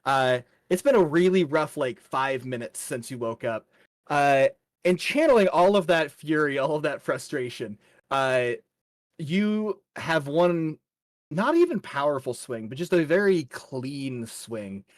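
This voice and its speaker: A man's voice: on the high side, lively intonation, a little slow, no echo, studio quality. A man narrating a tabletop game as game master in a casual, chatty, colorful and informal way.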